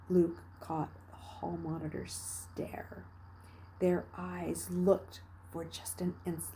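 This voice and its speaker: Woman reading a story aloud, mellow voice. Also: lowish voice, a little hiss or hum, poor audio. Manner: dramatic, with hushed passages and emphatic bursts. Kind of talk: expressive narration of a children's novel read aloud.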